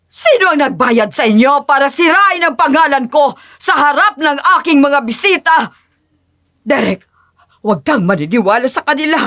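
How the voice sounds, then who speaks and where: A woman's voice: high-pitched, fairly bright tone, no room sound, clean studio sound. A woman voicing a haughty, scheming rich older mother-in-law with an imperious, melodramatic manner.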